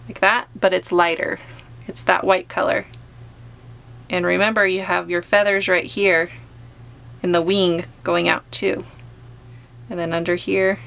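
A woman art teacher calmly talking through a step-by-step painting tutorial as she paints at a table. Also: fairly low pitch, creaky. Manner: gentle, unhurried explaining while painting. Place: home studio, overhead camera on painting table.

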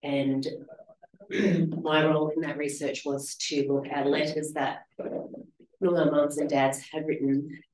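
A woman with an Australian accent and a soft, quiet voice, speaking gently and reflectively about her writing, with many small ums. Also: sits low, flat delivery, measured pace, slight background noise. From Western Australia. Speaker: author reading and in conversation. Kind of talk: literary conversation and reading intro. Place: lecture room, seated on a small stage. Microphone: handheld mic held at chest. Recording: roomy lecture hall echo.

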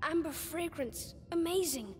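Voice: very high-pitched, lively intonation, a little slow. A performer voicing a studious, earnest teenage boy alchemist in a dramatic video game, hesitant and thoughtful. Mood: fascinated. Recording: game soundtrack music behind.

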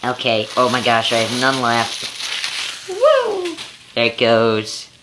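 A man's voice: medium pitch, huge pitch swings, slow. A teenage boy vlogging from his bedroom to a webcam, chatty and self-amused, thinking aloud as he goes. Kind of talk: casual rambling vlog. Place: small bedroom, indoors. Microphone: webcam at arm's length. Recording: noisy home webcam recording.

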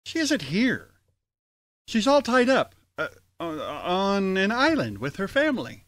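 A male host delivers scripted announcements and comic banter. His voice is on the high side and very animated in pitch. The sound is close and dry. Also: a little slow, no echo.

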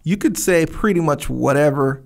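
Gravelly young man, rambling and animated. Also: fairly high pitch, moderate pace. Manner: animated, rambling, searching for words. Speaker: podcast co-host. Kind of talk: casual podcast chat. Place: dark studio, indoors. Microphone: studio mic on boom arm, headphones.

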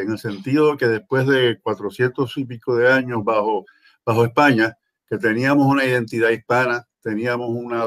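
A Puerto Rican man in his sixties talks over a laptop webcam from a small room. He is earnest and halting, filling his pauses with little sounds, at a plain middle pitch. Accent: Puerto Rican Spanish. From Puerto Rico. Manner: earnest, with many pauses and fillers. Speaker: guest caller. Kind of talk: online video call talk. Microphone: laptop webcam on video call.

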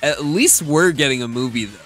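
Man as smug, animated cartoon blue hedgehog. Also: medium pitch, a little fast, soft background music, natural voice. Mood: smug, correcting. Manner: teasing, casually smug. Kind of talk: comedic in-character gameplay banter.

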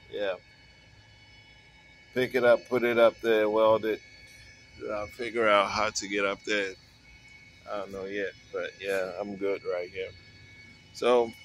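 A man in his forties, a mobile welder, casually talking to the camera in a laid-back, unhurried way while working outdoors at a job site, recorded on a phone. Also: lowish voice, a little hiss or hum. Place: outdoors on a work site, beside a truck. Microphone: handheld phone camera and wireless earpiece.

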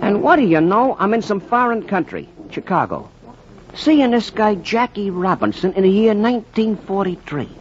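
An actor playing an excitable Brooklyn fan spinning a tall tale in slangy 1940s radio style, with a Brooklyn accent. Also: male voice, sits high, moderate pace, over music. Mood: excited, boastful. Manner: colorful slangy working-class storyteller. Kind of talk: 1940s radio acting, verse-like storytelling. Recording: muffled, faintly noisy 1940s radio recording.

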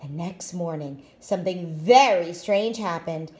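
A woman reading a children's picture book aloud in an expressive, deliberate way. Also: lowish voice, a little hiss or hum. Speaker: storytime reader, school staff.

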